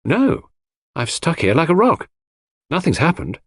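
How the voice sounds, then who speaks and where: A man voicing an earnest, loyal gentleman sidekick with a hearty, old-fashioned chummy manner in a mystery audiobook. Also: on the high side, wildly swooping pitch, measured pace, breathy edge, no room sound, studio quality, voice pushed up, heavily acted. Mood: dutiful.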